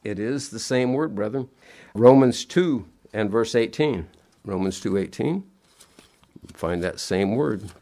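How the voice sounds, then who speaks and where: A man's voice: fairly low pitch, animated, measured pace, warm tone. A middle-aged man preaching a sermon, sounding nasal and sniffly from allergies, earnest and repeating his key words in plain homespun phrasing.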